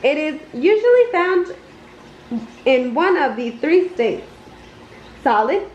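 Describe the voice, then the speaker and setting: A woman with a high voice reads a children's story aloud, unhurried and expressive. Her pitch moves up and down a lot. The recording sounds roomy, like a simple home setup. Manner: animated, deliberate storytelling. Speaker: storybook reader. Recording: roomy, slightly dull, noisy home recording.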